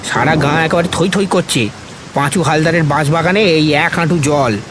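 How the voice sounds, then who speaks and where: An excitable old village servant, acted by a man in an audio story. The voice is pitched high, rustic and deferential, full of exclamation, with noisy rain behind it. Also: normal speed, lightly acted. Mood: amazed, excited. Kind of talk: Bengali audio story acting. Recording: rain and ambient noise behind.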